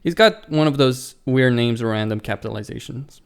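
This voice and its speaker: A man's voice: mid-range voice, fairly quick, smooth. A man hosting a let's play, reading a visual novel aloud and stumbling over words now and then, with casual side comments.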